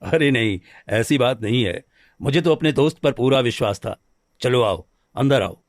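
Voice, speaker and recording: A man's voice: medium pitch, expressive, moderate pace, dry recording, clean studio sound, natural voice. A man playing a good-natured married village woodcutter in a cartoon story, earnest and homely in manner. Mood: reassuring. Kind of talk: Hindi cartoon story dialogue.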